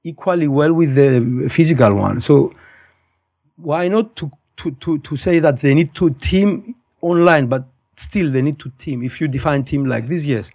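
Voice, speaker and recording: A man's voice: fairly high pitch, normal speed, a little mellow. A man in his fifties with a Cypriot accent talking casually as an interview guest, opinionated and digressive, often repeating and rephrasing his points. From Cyprus. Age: 50s. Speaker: podcast guest, high school physics teacher.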